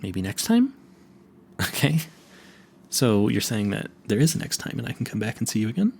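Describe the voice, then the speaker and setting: A man's voice: fairly low pitch, big pitch swings, gravelly, darkish tone, husky, heavily acted. A man playing a nervous, smitten and clueless vampire hunter in an audio roleplay, stammering and correcting himself as he speaks to the listener. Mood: flustered, embarrassed. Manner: flustered, stammering, halting and self-correcting.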